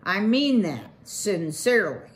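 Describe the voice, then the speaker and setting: A woman reading a storybook, voicing a brash, fast-talking male beaver boss with slangy salesman patter. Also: medium pitch, sing-song.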